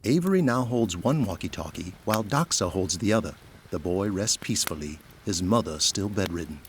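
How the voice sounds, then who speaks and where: A man's voice: deep voice, expressive, fairly quick, a little hiss or hum. A man giving scripted narration as the narrator of an audio drama.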